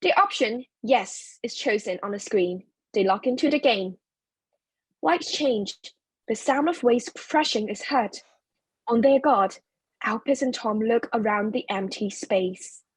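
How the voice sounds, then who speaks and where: Husky, high-voiced woman narrator. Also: expressive, unhurried, dry recording. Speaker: narrator reading stage directions. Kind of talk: scripted narration.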